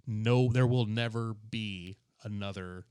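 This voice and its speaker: A man's voice: on the low side, slow, darkish tone, clean tone, no room sound, studio quality. A tipsy man co-hosting a podcast, chatting in a rambling, crude, joking way. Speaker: podcast co-host. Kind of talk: casual chat.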